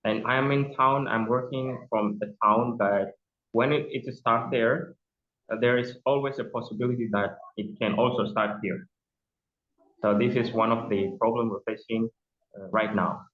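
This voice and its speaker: A man's voice: mid-range voice, fairly even intonation, moderate pace. A young man with an Ethiopian accent, a judge speaking as a guest in a remote interview, often hesitating and repeating words as he searches for them. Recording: tinny phone line with echo.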